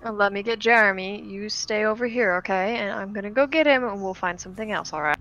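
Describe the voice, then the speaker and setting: Female roleplay player, bright and forceful tone, firm casual phrasing, mid pitch. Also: fast, lo-fi sound, slight background noise. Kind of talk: casual in-character tabletop roleplay.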